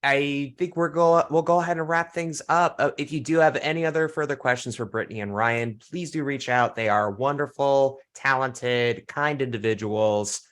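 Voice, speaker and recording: A man's voice: medium pitch, normal speed, few pauses, fairly bright tone. A man hosting an online Q&A in a casual, informal and appreciative way. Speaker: host.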